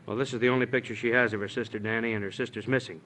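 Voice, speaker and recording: An actor playing a practical, plain-spoken police detective in an old radio drama, with a colloquial, matter-of-fact manner. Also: male voice, medium pitch, fairly even intonation, rapid-fire, a bit bright, natural voice, low-quality recording. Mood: exasperated, explaining. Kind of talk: 1940s-50s radio drama acting. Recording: vintage radio recording with faint hiss.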